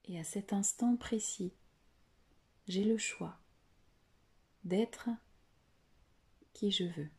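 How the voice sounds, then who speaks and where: A woman leading a guided yoga nidra meditation in a soft, breathy voice that often nears a whisper, soothing and deliberate, with long pauses. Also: mid-range voice.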